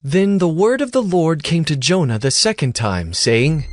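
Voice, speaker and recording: Casting: male narrator for dramatized scripture, somewhat high register, animated intonation, scripted delivery. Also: normal speed, dry recording.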